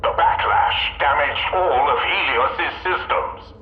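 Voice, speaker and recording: A man's voice: fairly high pitch, takes it slowly, dull audio. A man playing a cold, demanding imperial inquisitor in a game, curt and condescending in formal speech. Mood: accusing. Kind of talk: dramatic video game cutscene acting. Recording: echoey, faint noise.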